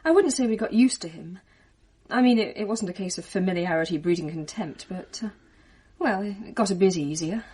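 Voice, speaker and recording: Uneasy young British woman: mid-pitched, breathy, candid, naturalistic radio drama delivery. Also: lively intonation, fairly quick, mellow. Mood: reflective. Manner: hesitant, candid.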